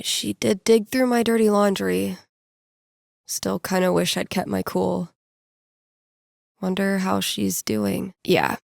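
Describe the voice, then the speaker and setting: A woman's voice: medium pitch, vocal fry, darkish tone, dry recording. A woman playing a wry, playful young American radio DJ in a video game, speaking casually with dry, sardonic, self-deprecating asides. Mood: regretful. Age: young adult.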